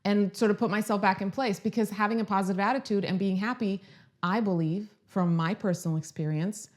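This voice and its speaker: Upbeat woman in her 30s from croatia, rambly live-stream chat, middle pitch. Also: steady tone, a little fast, a little mellow. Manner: chatty and upbeat, rambling with restarts. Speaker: lifestyle video host.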